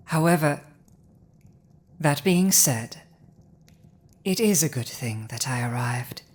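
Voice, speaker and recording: Woman doing a proud villainous dragon lady, low n husky, slow imperious delivery, awkwardly tender, asmr roleplay. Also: full character voice. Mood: proud, possessive. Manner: slow, deliberate, imperious, with long pauses. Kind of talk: dramatic ASMR roleplay monologue.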